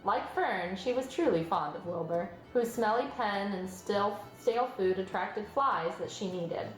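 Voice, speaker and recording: A woman's voice: medium pitch, unhurried. A woman reading a children's story aloud as scripted narration. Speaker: storybook reader. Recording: roomy sound, music behind. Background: music.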